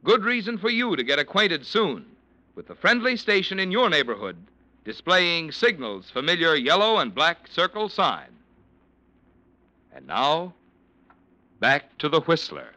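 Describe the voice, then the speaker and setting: A man's voice: a little high, sing-song, unhurried, pauses often, bright, forceful tone, no room sound, low-quality recording. A man announcing a vintage radio commercial with a polished, emphatic sales delivery.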